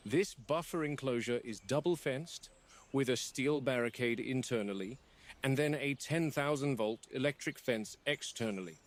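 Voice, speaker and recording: A man acting as a measured, serious male game warden records a formal log for a found-footage horror film. His voice is medium in pitch, and he explains procedures for dangerous animals with deliberate, objective care. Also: natural voice.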